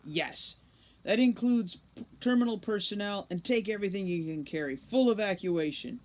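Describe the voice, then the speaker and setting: A woman voicing a gruff, bossy male elf commander in a storybook, barking gravelly, emphatic orders. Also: mid-range voice, normal speed, a little mellow, a little hiss or hum, pitched above the performer's own voice, natural voice. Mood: stern, urgent.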